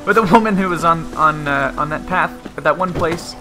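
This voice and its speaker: A man's voice: a little high, fairly quick. A man giving casual, rambling let's-play commentary and often interrupting himself. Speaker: gaming video host. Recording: game music behind the voice. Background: video game music and sounds.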